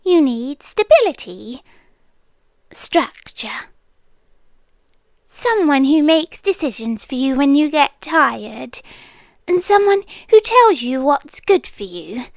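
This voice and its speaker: A British woman playing a possessive, doting and controlling yandere landlady in an intimate ASMR roleplay, breathy and coaxing, often dropping to a whisper. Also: sits high, big pitch swings, takes it slowly, pauses often, dry recording, full character voice. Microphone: close ASMR microphone, dry.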